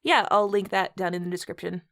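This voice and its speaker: A woman's voice: medium pitch, fairly even intonation, brisk, creaky, fairly bright tone. A woman hosting a comedic read-aloud with casual chat, often breaking into laughter as she talks. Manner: giggly, breaks into laughter while talking. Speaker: host and reader.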